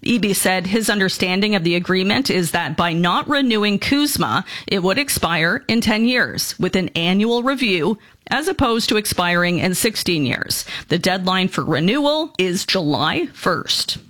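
A woman reading local news and weather on the radio in a steady, measured way from a studio microphone. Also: mid-range voice. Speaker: newsreader.

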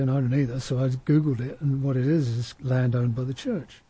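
Male panelist, mellow raspy tone, dry mic; thoughtful storyteller. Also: mid-range voice, no echo. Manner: thoughtful, anecdotal, with frequent restarts. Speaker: panel discussion speaker. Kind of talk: reflective panel talk.

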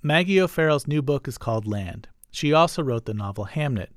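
A man's voice: on the high side, lively intonation, a little fast, no room sound, studio quality. Conversational male radio host. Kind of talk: radio interview and introductions.

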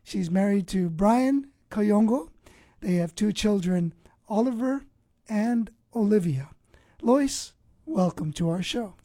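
A woman's voice: medium pitch, lively intonation, slow, mellow, no echo, clean studio sound. A woman hosting an interview, asking probing follow-up questions. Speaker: host.

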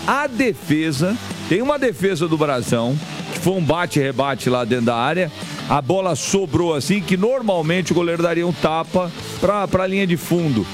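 High-pitched Brazilian man, emphatic sports host. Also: animated, normal speed. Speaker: football radio show host. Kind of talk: opinionated sports commentary and chat. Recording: music bed under broadcast voice. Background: music.

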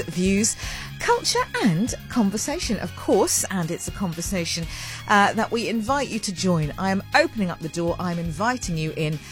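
A woman hosting a live morning radio show in a studio, chatty and rambling, her voice sitting lower than usual after a late night. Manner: rambling, warm and self-mocking, with laughs. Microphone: large studio mic with a fluffy cover.

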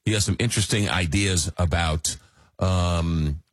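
A man's voice: sits low, a little slow, no echo. A man hosting a late-night radio talk show, rambling casually with many fillers and restarts, just getting over a cold, in a studio. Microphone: studio microphone.

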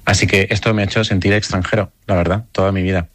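A man's voice: low voice, fairly even intonation, quick pace, a bit bright, no room sound. A man from Cádiz with no regional accent, delivering a wry, self-deprecating monologue as a listener's phone voice note. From Cádiz, southern Spain.

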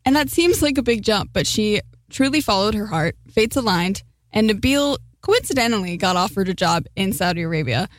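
A woman reporter reading scripted narration for a radio news feature, in a smooth, measured way. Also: medium pitch, animated, dry recording.

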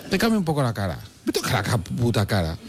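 A man's voice: mid-range voice, wildly swooping pitch, fast talker, a crowd in the background. A man in his fifties with an accent from Spain, co-hosting a talk show in casual, grumbling comic banter, ranting and repeating himself for emphasis. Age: 50s. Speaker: comedy talk show co-host.

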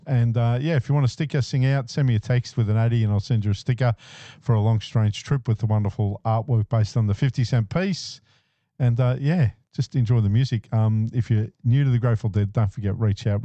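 Brief: easygoing man hosting community radio, very dark mellow voice, dull lo-fi broadcast sound. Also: medium pitch, no room sound. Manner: easygoing, frequent uh and um fillers. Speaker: radio host. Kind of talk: casual radio DJ patter.

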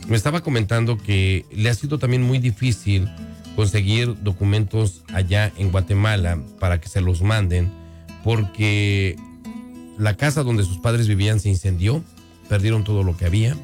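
A man's voice: lowish voice, normal speed. A man with a Mexican accent hosting a conversational radio interview in a studio. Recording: music clearly audible behind.

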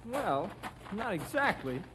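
An actor playing a teasing young ranger with a husky voice in a western radio drama. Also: male voice, sits high, sing-song, takes it slowly, background noise, heavily acted. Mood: easygoing. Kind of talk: old radio western acting.

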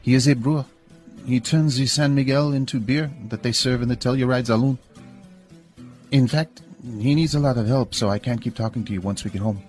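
A man's voice: medium pitch, fairly quick, darkish tone, faint music behind, voice pushed up, lightly acted. A man voicing a friendly young German immigrant woman who speaks slightly broken English with a German accent in a story. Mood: friendly, chatty. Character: young German immigrant woman, friendly, startled, church-going bank teller.

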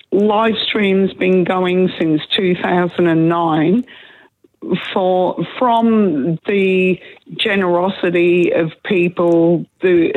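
Hoarse woman, radio caller, slow and earnest, tinny phone line. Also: on the low side. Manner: earnest, upset, rambling. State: hoarse from a cold. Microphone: telephone.

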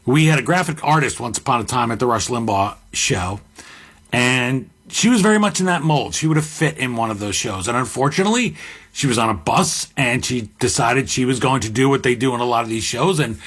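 Deep-voiced male host, musing. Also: expressive, brisk, a bit bright, husky. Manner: conversational, musing, with asides and restarts. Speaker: podcast host. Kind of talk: reflective monologue.

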